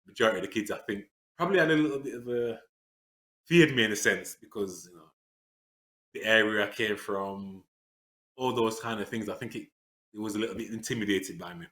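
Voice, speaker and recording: A man, talking earnestly in an interview. His voice sits low but has a bright, forceful edge. He pauses on fillers and restarts his sentences often. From England. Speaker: interview guest. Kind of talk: reflective conversational interview.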